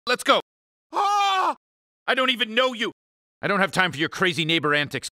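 A man voicing a grumpy, uptight, pompous alien spaceship leader in an animated cartoon game, exasperated and emphatic. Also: high-pitched, normal speed, no room sound, studio quality, full character voice. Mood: urgent, excited, then irritated, brisk.

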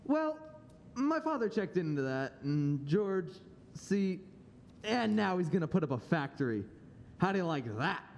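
A man's voice: big pitch swings, unhurried, creaky, a little mellow, heavily acted. A young man playing a brash, jovial businessman in a live radio play. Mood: boastful, eager. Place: large auditorium stage, standing at mic. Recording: reverberant auditorium, noisy rumble.

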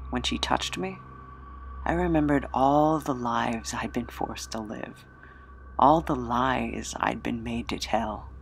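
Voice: medium pitch, slight background noise. An actor playing a sardonic, embittered immortal with a fractured memory in an audio drama, flippant and wry in manner. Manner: flippant, wry, breathy asides and chuckles.

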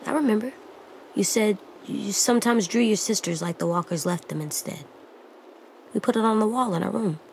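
A woman's voice: mid-range voice, moderate pace, vocal fry, darkish tone, natural voice, low-quality recording. Woman as little boy, serious, determined, childlike, dramatic game acting, faint background noise. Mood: solemn. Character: solemn, determined little boy, loyal and blunt.

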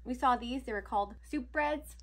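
A woman's voice: on the high side, normal speed. A woman in her thirties chatting casually to a camera a few feet away inside a cabin. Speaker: vlogger. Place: indoors in a log cabin. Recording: faint ambient noise, camera mic.

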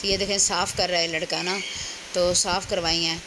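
A woman's voice: on the low side, fairly quick. A woman chattily narrating a travel vlog in voice-over, talking straight to her viewers. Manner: chatty, conversational, addressing viewers directly. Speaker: vlog host. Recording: clear steady background noise.

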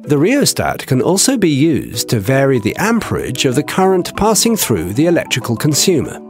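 A man giving scripted technical narration for a training video, with a measured, explanatory delivery. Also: medium pitch, huge pitch swings, faint music behind.

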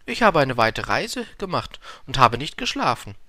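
Man acting a timid, higher-voiced little prince. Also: very animated, moderate pace, sharp tone, dry recording, studio quality. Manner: timid, hesitant, questioning. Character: shy, polite, wondering little boy prince.

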